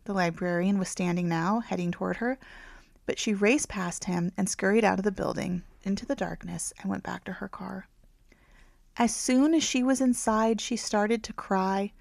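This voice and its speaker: Female narrator, gravelly fry, hushed phrase endings, dramatic romance storytelling, close dry recording. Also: mid-range voice, fairly even intonation, normal speed, dry recording. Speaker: author reading own audiobook. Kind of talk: scripted narration, romance audiobook.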